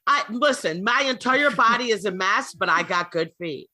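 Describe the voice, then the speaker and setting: Bright-voiced woman of nearly sixty, podcasting. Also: mid-range voice, lively intonation, normal speed. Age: almost 60. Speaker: podcast host and comedian. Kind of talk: casual podcast banter.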